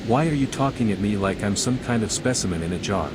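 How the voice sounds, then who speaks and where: An actor playing a dry-witted, restrained envoy, the hero of a video game, in measured dialogue. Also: male voice, on the low side, animated, noisy background, close to an everyday voice. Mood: dry, wary.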